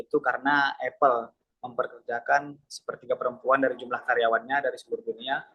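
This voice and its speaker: A young man from Medan moderating a class group presentation. He talks formally, reads from slides and often pauses on "eh". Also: medium pitch, a little hiss or hum, poor audio. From Medan, Indonesia. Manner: formal, filler-heavy, reads slides in an even voice. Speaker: student moderator.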